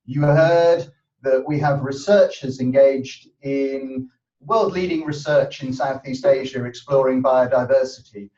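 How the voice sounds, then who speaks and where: A man's voice: mid-range voice, a little slow, a little hiss or hum. A man who chairs a university board, speaking in a webinar with confidence. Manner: confident, loud-voiced speaker. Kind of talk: webinar presentation. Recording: distant, echoey, muffled webinar audio.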